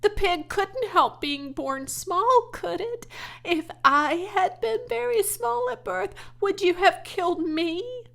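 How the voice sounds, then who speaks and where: A woman voicing a passionate, indignant eight-year-old farm girl in a storybook, pleading and protesting loudly. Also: very high-pitched, expressive, slow, vocal fry, pitched above the performer's own voice, exaggerated voice.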